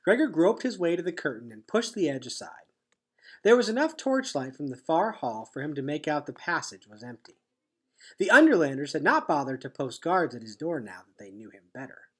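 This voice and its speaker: Husky man reading a storybook aloud. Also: fairly high pitch, big pitch swings, fairly quick. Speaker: teacher reading a chapter aloud. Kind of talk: read-aloud storybook narration.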